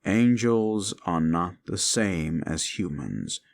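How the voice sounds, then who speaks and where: Man acting a gravelly, deep-voiced haughty demon. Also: expressive, slow, heavily acted. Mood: bitter, resigned. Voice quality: gravelly, creaky low voice. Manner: theatrical, archly formal, taunting. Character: male demon, fallen angel, haughty, grudging, seductive tsundere. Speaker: audio roleplay performer. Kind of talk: intimate second-person audio roleplay acting.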